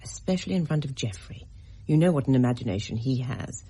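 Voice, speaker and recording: A woman's voice: a bass voice, animated, quick pace, mellow, husky, heavily acted. A woman playing a genteel, anxious widowed mother in a radio mystery drama, with a polite, refined, fretful manner. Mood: anxious, chiding.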